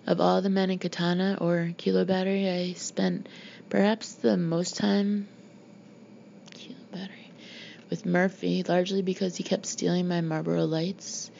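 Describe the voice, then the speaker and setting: A woman narrates a memoir in the manner of an audiobook reader. Her voice sits on the low side with a lot of gravelly fry. The recording is a dull, homemade-sounding one. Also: little pitch movement, moderate pace, a little mellow. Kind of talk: reading a memoir aloud, scripted narration. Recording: dull lo-fi home recording, background noise.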